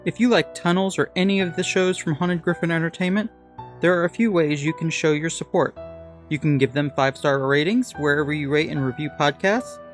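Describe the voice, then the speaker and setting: A man's voice: a little high, brisk. A man reading scripted credits and promos as a podcast announcer. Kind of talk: scripted narration. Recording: music under the voice. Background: music with guitar.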